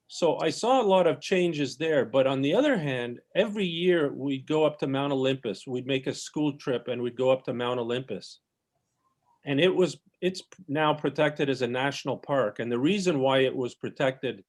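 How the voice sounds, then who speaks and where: A man telling a childhood nature memory on a video call, halting and often repeating words as he thinks. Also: mid-range voice. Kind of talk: casual storytelling on a video call.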